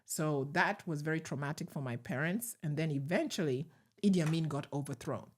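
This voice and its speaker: A middle-aged woman with a Ugandan accent, a guest recalling her past in a measured, reflective way with soft laughs. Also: low voice, slightly rough. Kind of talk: conversational interview storytelling.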